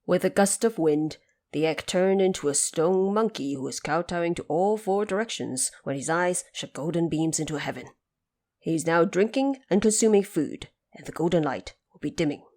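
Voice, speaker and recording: A dutiful general of heaven, played by a woman narrator, gives a formal report to his ruler in a mythic audio drama. The voice is slightly low, deferential and orderly. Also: animated, moderate pace, darkish tone, natural voice.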